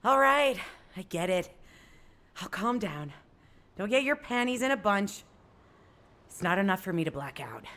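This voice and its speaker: A tough, hot-tempered female fighter from a video game. Her mid-pitched voice is blunt and emphatic, with the intensity of someone who carries a grudge and doesn't soften her words. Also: big pitch swings, unhurried, pauses often. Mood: irritated. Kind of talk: scripted video game dialogue.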